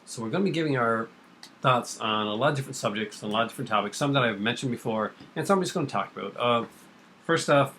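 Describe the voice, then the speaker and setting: Middle-aged male host, quick casual delivery, rambling and opinionated, light background noise. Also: mid-range voice, fairly bright tone. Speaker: host of a video channel. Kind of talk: casual chat about comics. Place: kitchen at home.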